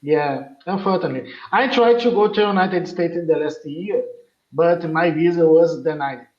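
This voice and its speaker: A man from Brazil with a Brazilian Portuguese accent, speaking halting learner English with many fillers in an online lesson. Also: a little high, darkish tone, reverberant, highs cut off. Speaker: English student.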